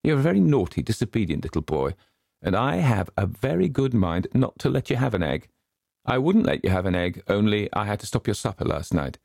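A man's voice: fairly low pitch, sing-song, a little fast, vocal fry, a little mellow, no room sound, studio quality, voice pushed up, exaggerated voice. A man voicing a strict, cross governess who scolds a boy in a fussy way. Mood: scolding.

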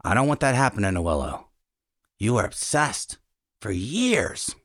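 Concerned dad, low voice. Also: male voice, very animated, unhurried, fairly bright tone, dry recording, clean studio sound, exaggerated voice. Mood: worried. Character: cautious, concerned middle-aged father. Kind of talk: audio drama dialogue.

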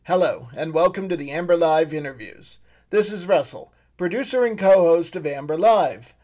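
A man's voice: fairly high pitch, lively intonation, moderate pace, heavy background noise. A man who co-hosts and produces, reading a scripted introduction to an interview show. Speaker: producer and co-host.

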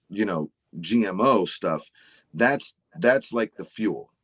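A man's voice: sits low, no room sound. A man in his forties explaining health ideas in a casual chat, often checking in with "right?". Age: 40s. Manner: explanatory, frequent "right?" tags. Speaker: supplement company guest.

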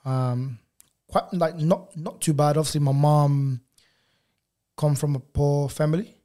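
A man's voice: on the high side, steady tone, measured pace, warm tone. A young man, a Portuguese native raised in Portugal after an Angolan birth, telling his story in casual interview chat with many fillers and restarts. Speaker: guest photographer.

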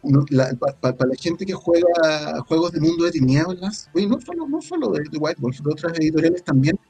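Man, Chilean accent, casual interview guest, gravelly, mellow voice, halting delivery, faint music behind. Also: on the high side, expressive, dry recording, poor audio. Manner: halting, thinking aloud. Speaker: guest, audio drama creator.

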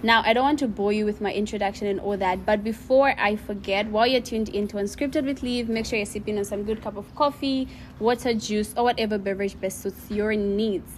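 A woman's voice: medium pitch, fairly quick, hardly any pauses, a little hiss or hum, rough recording. A young adult woman hosting a podcast in a bubbly, chatty way with frequent laughter. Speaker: podcast host. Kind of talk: casual interview chat.

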